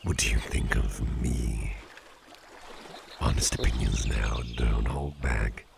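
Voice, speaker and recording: A man playing a calm, caring, playful businessman boss in a slow, intimate ASMR roleplay, speaking in a soothing, gravelly, very deep murmur. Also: noisy background, full character voice. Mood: amused. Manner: soothing, unhurried, repeats short phrases.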